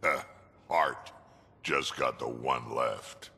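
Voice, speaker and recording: An actor playing a huge, gruff, sardonic old alien warrior in a video game, terse and deadpan. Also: male voice, a bass voice, extremely slow, in a large hall, heavily acted. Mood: grim satisfaction.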